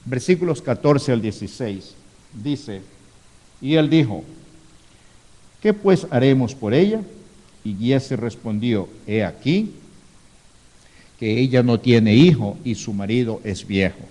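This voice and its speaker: A man's voice: medium pitch, animated, takes it slowly, pauses often, warm tone. A man preaching as a pastor, teaching in a sermon, reading scripture aloud and adding rhetorical asides. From Ecuador. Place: church hall, live stream. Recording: reverberant church hall.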